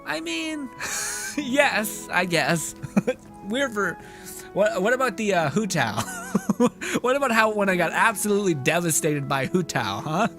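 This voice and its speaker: A man's voice: high voice, sing-song, a little slow, a bit bright, faint music behind. Playful, swearing male game streamer. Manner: playful, rambling, swears, laughs a lot. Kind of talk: casual livestream commentary.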